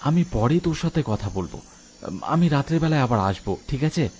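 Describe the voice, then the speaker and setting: A man playing a brave, concerned young village friend in an animated horror story, speaking emphatically. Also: mid-range voice, normal speed, slight background noise, natural voice. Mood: guarded.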